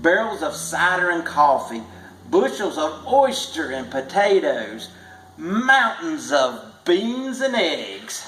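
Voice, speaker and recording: A man reading a tall tale aloud as a storybook narrator, measured and with stretched emphasis. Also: on the high side, a bit bright, slight background noise. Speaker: storybook reader. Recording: echoey room.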